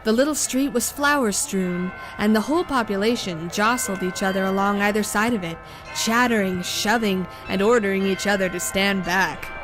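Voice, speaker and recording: A woman narrating a classic tale in a steady, measured reading. Her voice sits at a middling pitch for a woman. Music plays clearly behind her. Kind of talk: scripted storybook narration.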